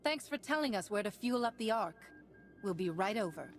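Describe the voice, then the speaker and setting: Woman acting a composed, confident hero, mid pitch. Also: animated, fairly quick, soft background music, close to an everyday voice. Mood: defiant. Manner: composed, assured. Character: steadfast, confident female Jedi hero. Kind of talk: video game character acting.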